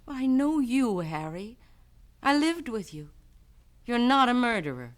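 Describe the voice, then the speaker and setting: An actress playing a guilty, composed young widow in a 1970s radio drama, speaking softly and earnestly. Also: female voice, on the high side, very animated, measured pace.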